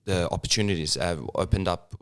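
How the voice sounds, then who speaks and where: Low-voiced hesitant male actor. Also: fairly even intonation, fairly quick, clear, resonant tone. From Serbia. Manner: hesitant, many fillers and restarts. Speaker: actor, interview guest. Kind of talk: casual interview conversation.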